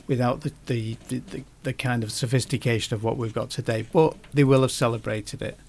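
A man speaking as a guest in a casual podcast conversation, halting often and restarting his sentences. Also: medium pitch, fairly even intonation, a little mellow. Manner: halting, many restarts and fillers.

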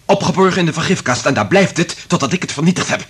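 An actor playing an anxious, principled research scientist in a Dutch radio drama, earnest and emphatic. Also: male voice, fairly high pitch, lively intonation, fast talker, fairly bright tone, breathy edge, exaggerated voice. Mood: agitated, forceful.

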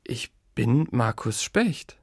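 An actor playing a bewildered, anguished man in an audio drama, emotional and halting, full of questioning. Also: medium pitch, big pitch swings. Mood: shaken, insistent. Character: bewildered, anguished man insisting on his identity. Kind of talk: German audio drama acting.

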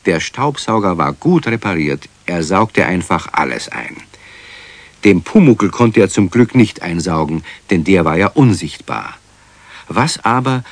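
A man's voice: low-pitched, expressive, measured pace, no echo, heavily acted. A man narrating a children's radio play as a wry, genial storyteller. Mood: wry narration.

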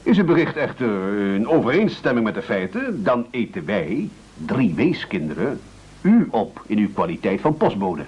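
A man's voice: mid-range voice, big pitch swings, moderate pace, darkish tone, breathy edge, muffled recording. An actor in a radio play playing a pompous, scheming male leader of hungry castaways, speaking in formal, rhetorical sentences. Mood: sly, bargaining.

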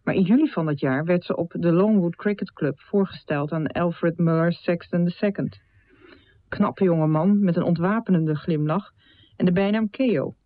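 An actress playing a biographical narrator in a radio drama, telling a life story in a measured, scripted way. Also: female voice, on the low side, no room sound, narrow, tinny audio, close to an everyday voice. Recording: thin, band-limited dry radio recording.

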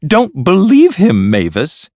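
Man putting on a shrill, flustered society lady. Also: a little slow, warm tone, no room sound. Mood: alarmed. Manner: high, unnatural, agitated. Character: high-strung, flustered upper-class hostess lady. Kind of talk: storybook character voice.

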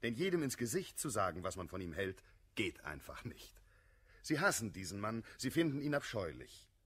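An actor playing a moderate, worldly friend in a classical German radio play, reasoning in measured, ironic rhymed verse. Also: male voice, lowish voice, sing-song, a bit bright, slight background noise. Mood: teasing, admonishing.

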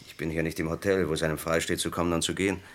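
A man's voice: deep voice, level tone, racing, lightly acted. An actor playing a bitter, despairing young man wrongly accused of murder in a vintage radio crime drama, brooding and resigned.

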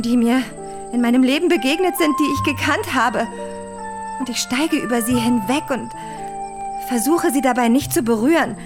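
An actress as a wounded, volatile female movie star in a radio play. Her voice is high and swings widely in pitch, intimate and theatrical, over prominent music. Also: natural voice. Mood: dreamy, haunted. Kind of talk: German radio drama acting.

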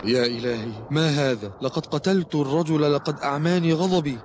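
A man's voice: a little high, brisk, darkish tone, slight background noise, close to an everyday voice. A man playing an anguished, repentant killer in an Arabic religious cartoon, pleading solemnly and repeating himself. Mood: remorseful pleading. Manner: pleading, repetitive, solemn supplication. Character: anguished repentant male killer begging God for forgiveness. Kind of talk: Arabic animated religious story acting.

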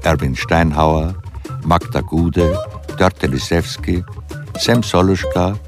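Male announcer, extremely deep, unhurried, formal, radio drama credits, music underneath. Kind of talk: radio play title and cast announcement.

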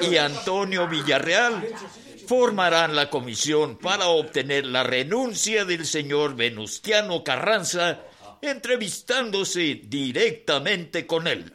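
A man playing a formal convention official making a declamatory proclamation in a radio drama. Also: high voice. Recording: crowd murmuring behind.

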